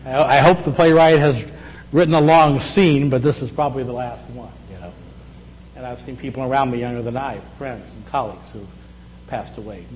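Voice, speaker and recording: A man's voice: mid-range voice, darkish tone. Reflective man in his sixties preaching. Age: 60s. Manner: reflective, conversational, with slow emphatic pauses. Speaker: pastor. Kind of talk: sermon. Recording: echoey hall with faint hum.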